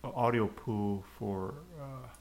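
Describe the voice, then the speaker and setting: A man giving an unscripted coding tutorial, haltingly muttering to himself as he types. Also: fairly low pitch, a little mellow. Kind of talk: unscripted screencast coding tutorial, thinking aloud. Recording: clear background noise, keyboard clicks. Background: typing and mouse clicks.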